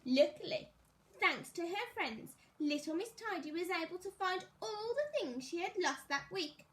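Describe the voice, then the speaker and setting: A woman teacher reading a children's story aloud, expressively and deliberately, as if to young pupils. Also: very high-pitched, big pitch swings.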